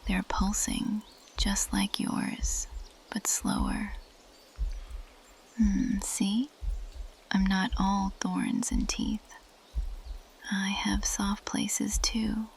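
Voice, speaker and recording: A woman playing an ancient, possessive plant monster girl in an intimate ASMR roleplay, speaking in a breathy, lingering whisper. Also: medium pitch, flat delivery, extremely slow, pauses often. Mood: soft, tender.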